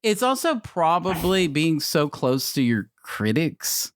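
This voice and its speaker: A man in his forties co-hosting a casual podcast chat, animated and swearing, with many restarts. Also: on the high side, takes it slowly, clear, resonant tone, dry recording, clean studio sound. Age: 40s. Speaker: podcast co-host.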